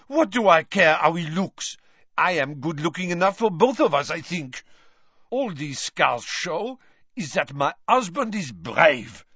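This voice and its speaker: A man's voice: medium pitch, animated, normal speed, slightly rough, dry recording, dull audio. A man voicing a proud, fierce young bride who speaks in a haughty, formal way. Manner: haughty, forceful, formal phrasing.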